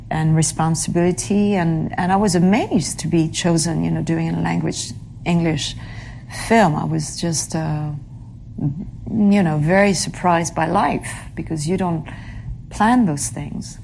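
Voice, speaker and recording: A woman with a notably low voice answers interview questions in a reflective, halting way. She pauses, reaches for words and fills the gaps with small hesitations. A faint hiss sits under her voice. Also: vocal fry, darkish tone. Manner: halting, searches for English words, many fillers. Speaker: actress being interviewed.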